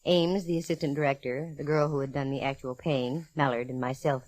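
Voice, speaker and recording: A woman's voice: extremely low pitch, fairly even intonation, a little fast, darkish tone. An American actress playing a sassy, hard-boiled female private eye in 1940s radio drama, with wry, wisecracking delivery. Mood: dry narration. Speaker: lead actress and narrator. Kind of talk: 1940s radio detective acting with wry first-person narration.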